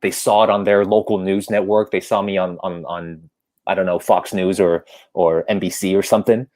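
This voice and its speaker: A man's voice: sits low, flat delivery, a little mellow. A man in his thirties, raised in Hong Kong with Cantonese as his first language, chatting as a guest with frequent restarts and laughs. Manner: halting, restarts often, laughs between stories. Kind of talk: casual interview chat.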